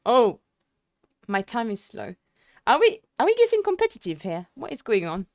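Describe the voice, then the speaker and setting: A young woman streamer chatting casually and excitedly with viewers, full of fillers and restarts. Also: fairly high pitch, normal speed, no echo, studio quality. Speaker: sim racing streamer. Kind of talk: casual live-stream chat.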